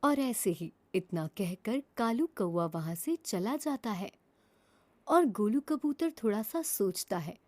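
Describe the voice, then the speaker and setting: A woman narrating a children's cartoon story, her pitch rising and falling in a lively way. Her tone is mellow and warm, and she tells it in a plain storybook manner. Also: slow.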